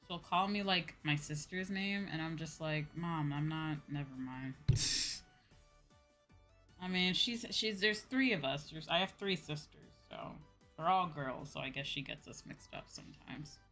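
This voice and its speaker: An American woman chatting casually to viewers as a livestream host, animated and repeating phrases for emphasis. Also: deep voice, measured pace, faint music behind. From United States.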